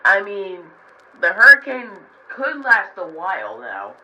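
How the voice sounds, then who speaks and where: A man's voice: high-pitched, wildly swooping pitch, unhurried, sharp tone, heavily acted. A man playing a bewildered, rambling space cadet phoning into a mock newscast. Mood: baffled. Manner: rambling, flustered. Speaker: interviewed guest. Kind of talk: improvised mock news interview. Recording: muffled lo-fi line, faint noise.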